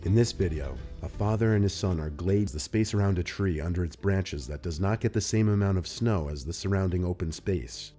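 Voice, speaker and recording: A man reading scripted narration in a measured, serious manner. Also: deep voice, dark tone. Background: music.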